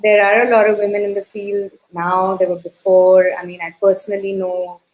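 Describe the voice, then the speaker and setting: A woman's voice: mid-range voice, level tone, moderate pace, darkish tone, slight background noise, rough recording. A female filmmaker guest in a casual panel chat, speaking reflectively with hesitations. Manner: reflective, hesitant, laughs easily.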